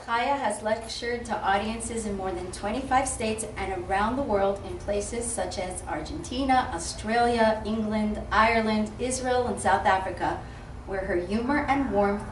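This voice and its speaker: A woman emcee reading a formal introduction of a speaker at an event. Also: medium pitch. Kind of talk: read introduction. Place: event hall. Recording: echoey hall from far off, some noise.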